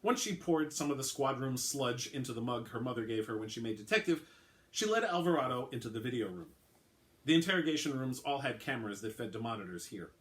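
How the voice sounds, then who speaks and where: An author reading his own fiction aloud in a relaxed, unhurried manner. His voice sits at a middle pitch for a man, and he drops the odd filler as he introduces the story. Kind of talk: dramatic reading.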